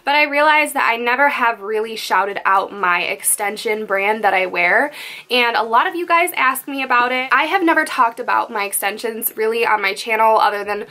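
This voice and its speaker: A young woman vlogging about her makeup favorites in a chatty, candid way, a little croaky from being sick. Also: on the high side, expressive, normal speed, pushed and bright. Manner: chatty and candid, rambling asides. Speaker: beauty vlogger. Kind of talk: casual makeup favorites chat to camera.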